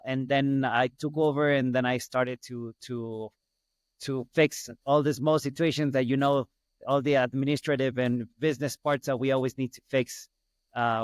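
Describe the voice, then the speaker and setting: A middle-aged man from Ecuador with a Spanish accent talks casually as a podcast guest. His voice is clean and smooth, in a dry recording, and he often repeats phrases to make a point. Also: medium pitch, no echo. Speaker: podcast guest, hospital business owner. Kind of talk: casual interview storytelling.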